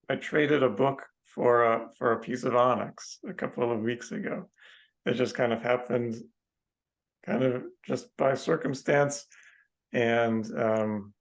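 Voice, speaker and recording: A man's voice: medium pitch, low-quality recording. A man on a podcast telling a casual anecdote in a hesitant, rambling, tentative way. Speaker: podcast participant.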